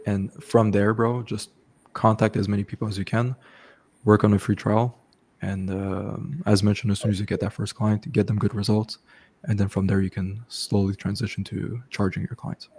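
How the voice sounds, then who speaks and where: Give me a man with a deep, warm voice hosting a casual live Q&A. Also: level tone, normal speed, husky. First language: French. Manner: casual, repeats "okay" and "bro", frequent restarts. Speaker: host coaching online business. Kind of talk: live stream Q&A, casual advice.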